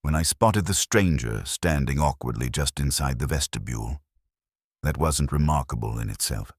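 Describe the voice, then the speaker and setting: A man narrates a detective mystery in a very low voice with a husky grain. The delivery is wry and hard-boiled, with animated rises and falls, recorded close and dry. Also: moderate pace, dry recording. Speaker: audiobook narrator. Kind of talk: scripted first-person detective story narration.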